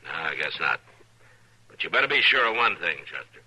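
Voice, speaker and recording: A man playing a steady US marshal in a radio western, American, firm, dry and terse. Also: rumbling low, a little fast, slightly rough, full character voice, poor audio. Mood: dry, questioning. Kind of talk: 1950s radio western acting. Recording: old radio recording.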